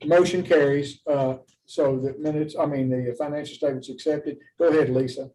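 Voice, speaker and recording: Flat-toned man chairing a meeting, slightly distant. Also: a little high, moderate pace. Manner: procedural, courteous. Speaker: commission chair. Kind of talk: chairing a formal public meeting. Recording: distant mic, faint background noise.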